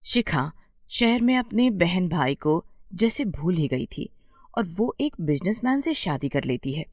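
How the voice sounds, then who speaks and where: A woman storyteller reading scripted narration for an animated bedtime tale. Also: fairly low pitch, dry recording, clean studio sound.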